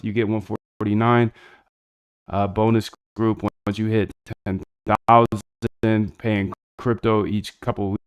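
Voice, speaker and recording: Low, smooth-voiced casual man. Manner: rambling, slangy, talks to chat while working. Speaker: streamer. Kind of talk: casual screen-share chat.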